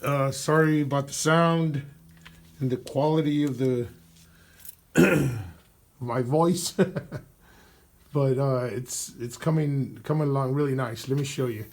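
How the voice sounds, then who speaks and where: A man whose voice has gone husky from a cold talks his way through a garage repair job. He speaks into a phone he holds while working under the vehicle. His talk is loose and rambling, full of fillers and throat clearing. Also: medium pitch, fairly even intonation, slow, pauses often. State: hoarse with a cold, losing his voice. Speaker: DIY car mechanic vlogger. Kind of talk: casual workshop walkthrough. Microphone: phone camera held while working.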